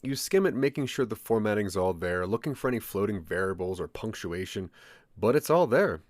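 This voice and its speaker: A man's voice: fairly low pitch, expressive, brisk, darkish tone, dry recording, clean studio sound. A man narrating a scripted audio drama in the second person.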